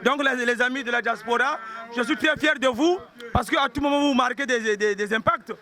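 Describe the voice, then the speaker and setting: A man's voice: very high-pitched, moderate pace, bright, forceful tone. A man with a Congolese French accent giving a rambling, improvised public speech, often repeating words as he hesitates. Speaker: sapeur spokesman.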